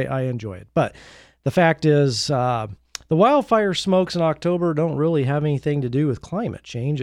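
Male American podcast host, slightly higher-pitched voice, conversational and meandering, in a dry studio-like sound. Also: darkish tone, no room sound. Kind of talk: informal solo podcast monologue.

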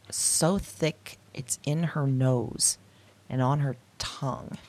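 A woman playing a haunted dreamer in an audio drama, haltingly recounting visions of past lives. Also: a bass voice, darkish tone, heavily acted. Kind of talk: confessional audio drama monologue, halting recollection.

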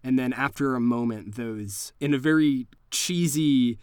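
A man's voice: medium pitch, unhurried, clear, resonant tone, dry recording, studio quality. A man working as game master, narrating an improvised horror tabletop game in a conversational, suspenseful way.

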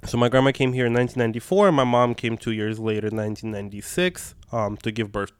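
Soft-spoken man raised in Russia. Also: mid-range voice, fairly even intonation, fast talker, smooth, no echo. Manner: thoughtful, frequent ums and restarts. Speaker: podcast guest. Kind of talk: interview conversation.

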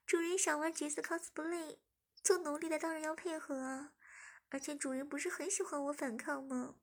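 A woman voicing a young woman playing a submissive captive, pleading and breathy. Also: high voice, little pitch movement, normal speed, pitched above the performer's own voice. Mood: playful. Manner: pleading, breathy, later playful.

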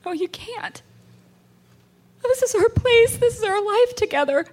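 A woman's voice: very high-pitched, lively intonation, unhurried, a little mellow. A woman acting a distraught, intense young woman in a horror radio play, pleading and emotionally raw. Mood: desperate pleading. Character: distraught young woman convinced insects infest her girlfriend.

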